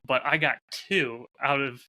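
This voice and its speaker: A man's voice: medium pitch, fairly even intonation, unhurried, pushed and bright, low-quality recording. A man in casual podcast conversation, talking as a host in an upbeat, enthusiastic way about his own work. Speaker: podcast host and audio drama creator.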